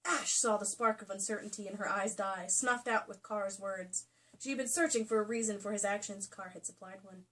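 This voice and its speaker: A woman's voice: mid-range voice, fairly even intonation, fairly quick, fairly bright tone. A woman giving a comedic dramatic reading of a novel, mock-theatrical and breaking into casual asides. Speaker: co-host reading a novel aloud. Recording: noisy room, distant microphone.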